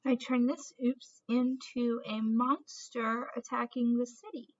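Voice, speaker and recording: A woman's voice: fairly high pitch, fairly even intonation, measured pace, muffled recording, a little hiss or hum. A woman presenting an instructional craft lesson for children as an art teacher, in an encouraging way with questions to young viewers.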